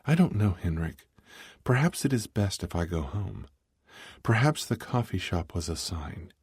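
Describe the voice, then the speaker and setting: Guy doing a wary young woman in an audiobook, voice lifted higher, dry sound. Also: big pitch swings, unhurried, a little mellow, husky, no room sound, full character voice. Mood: hesitant, doubtful.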